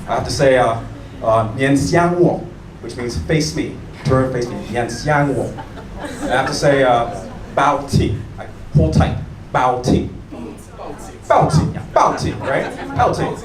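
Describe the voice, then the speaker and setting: An American man doing a stand-up comedy set on a club stage, animated and chatting with the audience. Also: medium pitch, unhurried. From United States. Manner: animated, mimics locals and chats with the audience. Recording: echoey room taped from far off, crowd noise.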